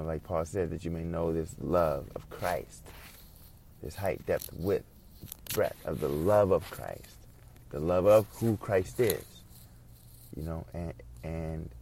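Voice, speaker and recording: A man with a very deep voice and a gravelly creak delivers an informal sermon. He sounds earnest and reflective, circling back over phrases to build on them. Some background noise sits behind his voice. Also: dark tone. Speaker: preacher.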